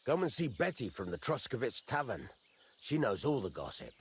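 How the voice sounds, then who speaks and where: An actor playing a gruff, fatherly middle-aged master blacksmith in a medieval video game, blunt and folksy, teasing as he talks. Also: medium pitch, big pitch swings, moderate pace. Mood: confiding. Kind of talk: video game dialogue acting.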